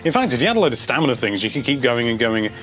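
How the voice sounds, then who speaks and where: A man's voice: medium pitch, very animated, rapid-fire, over music. A man hosting a casual video, reading out viewer comments and chatting about them in a rambling, enthusiastic way.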